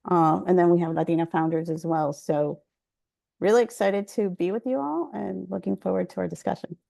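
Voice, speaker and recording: A woman from Venezuela introducing herself as a panelist in a warm, enthusiastic way over a video call. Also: lowish voice, a little fast, vocal fry. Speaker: panelist, nonprofit chapter president.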